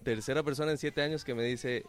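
A man's voice: medium pitch, steady tone, fast talker, over music. A man with a Costa Rican Spanish accent, chatting enthusiastically as a musician in an interview, repeating phrases for emphasis. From Costa Rica. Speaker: band drummer interviewed. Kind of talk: casual interview chat.

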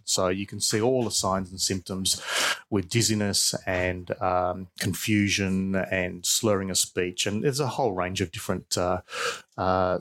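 A man's voice: low voice, fairly bright tone. A middle-aged man with an Australian accent, explaining things informally as an expert guest in an interview. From Perth, Australia. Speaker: expert guest, professor and researcher.

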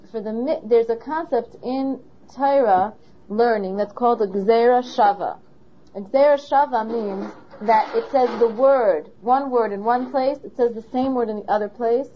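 Woman doing a torah class, kinda high voice, muffled lo-fi sound. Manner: asks the listener questions, patient. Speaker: Torah teacher. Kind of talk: explaining a Torah lesson. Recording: dull lo-fi recording.

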